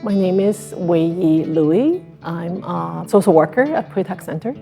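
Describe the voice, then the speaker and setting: A woman's voice: fairly low pitch, lively intonation, measured pace, vocal fry, warm tone, faint music behind. A woman social worker giving a short testimonial in an interview.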